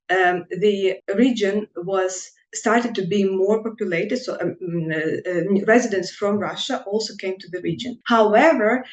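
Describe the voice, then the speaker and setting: A woman researcher, giving measured academic answers in an interview with frequent pauses. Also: mid-range voice, reverberant, rough recording. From Ukraine.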